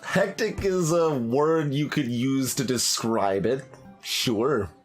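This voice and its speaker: A man's voice: mid-range voice, takes it slowly. A man giving casual live commentary as a game streamer, rambling and thinking aloud as he goes. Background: faint game music.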